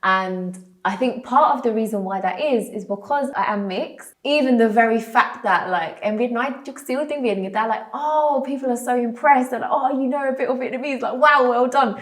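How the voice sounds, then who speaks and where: A woman's voice: on the high side, a little fast, hardly any pauses. A British woman hosting a conversational podcast, talking animatedly and acting out quoted voices. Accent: British English. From United Kingdom. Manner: animated, acts out quoted voices, laughs easily. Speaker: podcast host.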